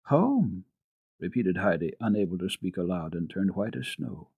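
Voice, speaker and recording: A man giving an expressive, careful dramatic reading of classic children's literature as a radio storyteller. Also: deep voice, brisk, vocal fry, slightly rough, dry recording, lo-fi sound.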